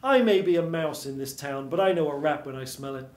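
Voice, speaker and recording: A man's voice: a little high, animated, a little fast, natural voice. A man voicing a bitter, washed-up old mouse film star, world-weary and hard-boiled in noir style. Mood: bitter, wary. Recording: roomy stage sound.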